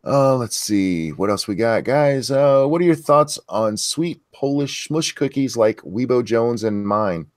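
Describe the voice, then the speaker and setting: A man with a deep voice hosting a casual livestream chat, reading viewer questions in an easygoing, crude, joking way. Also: expressive, unhurried, hardly any pauses, no echo, clean studio sound.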